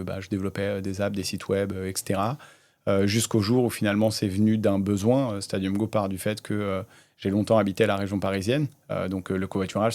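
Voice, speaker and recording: A man in his thirties, a startup founder, telling his story in a relaxed way with many hesitations in a casual interview. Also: on the low side. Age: 30s.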